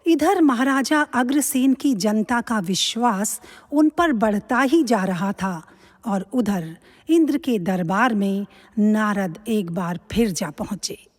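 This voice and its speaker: Slow woman storyteller in reverb. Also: medium pitch, expressive. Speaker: narrator. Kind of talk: scripted storytelling narration. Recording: long hall-like reverb.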